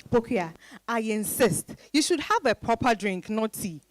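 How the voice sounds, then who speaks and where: An independent-minded professional woman in a dramatized reading, acted by a woman. Her voice sits somewhat high and moves widely in pitch, carrying the weariness of a working life. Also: expressive, normal speed, slight background noise, close to an everyday voice, poor audio. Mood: insistent. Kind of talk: dramatized stage-style reading.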